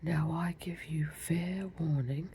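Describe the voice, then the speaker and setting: A woman's voice: deep voice, steady tone, slow pace, dark tone, slight background noise. A woman voicing a commanding, short-tempered queen in a whisper with a clipped, put-on English accent. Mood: imperious.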